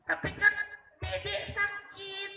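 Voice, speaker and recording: Man playing a soft, high-voiced earnest little girl. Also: monotone, slow, gravelly, faint music behind, heavily acted. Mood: earnest, pleading. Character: gentle, eager nine-year-old girl, dutiful daughter. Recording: echoey room, distant mic.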